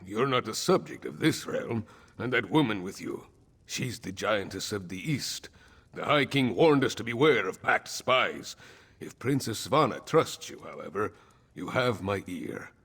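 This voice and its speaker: An actor playing a proud, weary, aging northern jarl in a video game, blunt and sardonic, with a gritty voice. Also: male voice, lowish voice, animated, a little slow, a bit bright, husky, in a large hall, heavily acted. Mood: wary. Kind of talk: video game character acting.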